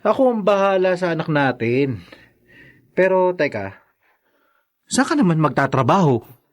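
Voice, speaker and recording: A man's voice: fairly high pitch, very animated, measured pace. An actor playing an evasive, jobless young father in a Filipino radio drama, whiny and full of excuses. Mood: persuasive. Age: young adult.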